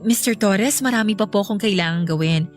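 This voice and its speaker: A woman's voice: medium pitch, brisk, faint music behind, close to an everyday voice. A woman playing an earnest, guarded young schoolteacher in a radio drama, speaking with polite restraint. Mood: cold, formal.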